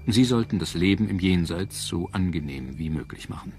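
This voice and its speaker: Man, low voice, formal scripted documentary narration, measured delivery, clear music underneath. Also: lively intonation. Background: background music.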